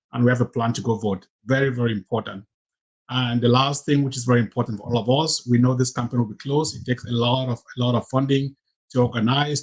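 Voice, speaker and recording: A man from Cameroon giving an earnest, plain speech of encouragement on a video call. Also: medium pitch. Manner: earnest, plain, restarts phrases.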